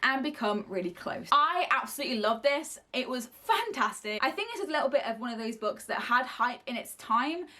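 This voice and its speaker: A woman's voice: high-pitched, animated, a little fast, hardly any pauses, bright, forceful tone. A young woman in her early twenties reviewing books in a casual, gushing way and swearing freely. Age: early 20s. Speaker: book reviewer. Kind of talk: casual book review to camera.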